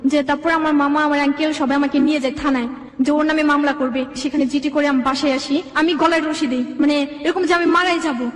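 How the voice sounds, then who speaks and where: High-pitched young Bangladeshi woman, fast and echoey. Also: fairly even intonation. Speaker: guest telling own life story. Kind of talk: personal storytelling in an interview. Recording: echoey room with long reverb.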